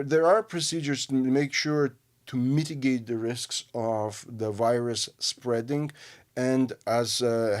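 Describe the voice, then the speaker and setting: A man from Armenia with an Armenian accent, co-hosting a news discussion and talking haltingly with many fillers and restarts. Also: mid-range voice, darkish tone. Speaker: radio host.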